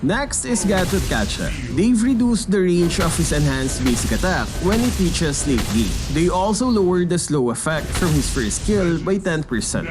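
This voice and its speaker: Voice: medium pitch, big pitch swings, normal speed, hardly any pauses, music in the background. A gaming channel host reading scripted patch notes as a voice-over.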